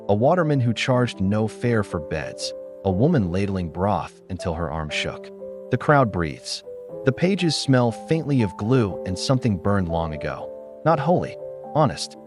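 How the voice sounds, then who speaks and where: A man reading a literary story in a measured, solemn manner, as a scripted narrator. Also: lowish voice.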